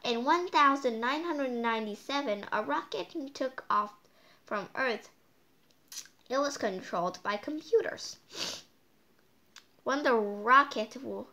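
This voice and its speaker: A woman hosting a read-aloud storytime, reading a picture book in a halting way with playful, chatty asides. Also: a little high, sharp tone. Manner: halting reading, stumbling over words; playful, exclaiming.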